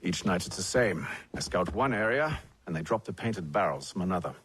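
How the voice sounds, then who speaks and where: A man playing a composed, shrewd gentleman passenger in a video game cutscene, speaking in a measured, formal and determined way. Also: low-pitched, expressive, breathy edge, exaggerated voice. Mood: measured, wary.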